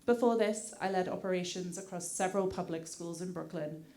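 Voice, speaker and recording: Woman, British-tinged accent, lowish voice, community affairs director at a podium mic, polite and scripted. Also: a little fast, darkish tone. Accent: British with some American mix. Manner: polite, thankful, with frequent "uh" fillers. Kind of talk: prepared public remarks at a community meeting. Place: community meeting hall.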